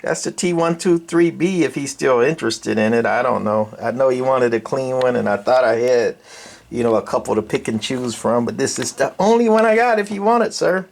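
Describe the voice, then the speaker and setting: A man's voice: mid-range voice, lively intonation, brisk, creaky. An older man giving a casual, unscripted walkthrough as a radio hobbyist, talking in a rambling, colloquial, folksy way. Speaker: hobbyist radio repairer and video presenter.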